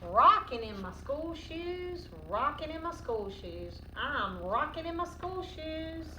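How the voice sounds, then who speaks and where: A woman's voice: sits high, sing-song, takes it slowly, pushed and bright. A woman teacher doing a children's read-aloud lesson in an instructive, questioning, sing-song manner. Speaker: teacher reading a picture book aloud to children. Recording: roomy, distant mic, noticeable background noise.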